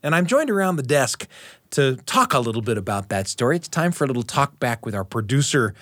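A man's voice: mid-range voice, sing-song, brisk, fairly bright tone, no echo. A man hosting a storytelling radio show, moving between scripted links and studio chat on a close mic.

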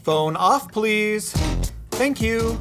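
A woman's voice: mid-range voice, expressive, extremely slow, music in the background. A woman playing a character in a family audio drama. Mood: measured.

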